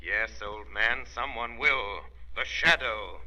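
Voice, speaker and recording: An actor playing an unseen, menacing avenger in a 1930s radio drama, slow and commanding. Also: male voice, mid-range voice, natural voice. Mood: ominous. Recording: dull old radio recording.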